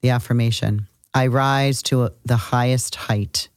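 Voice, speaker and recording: A woman's voice: very deep voice, steady tone, unhurried, clean tone, no echo, studio quality. A woman co-hosting an astrology podcast, chatting casually and emphatically in short bursts. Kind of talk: casual conversational astrology talk.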